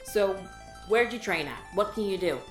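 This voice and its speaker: A jaded alien office worker played by a woman speaks with dry, deadpan sarcasm. Her pitch moves up and down freely. A roomy echo and faint music sit behind her. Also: normal speed, lightly acted. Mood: sardonic. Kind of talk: audio drama acting.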